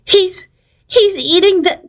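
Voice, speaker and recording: A woman voicing an excitable, breathless fifth-grade girl in a children's storybook. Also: slow, heavily acted. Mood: giggly. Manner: breathless, shrill.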